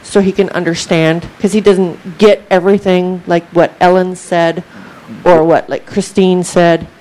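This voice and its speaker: A woman's voice: lowish voice, flat delivery, a little slow, dark tone, low-quality recording. A woman in a group discussion explaining and clarifying what others mean.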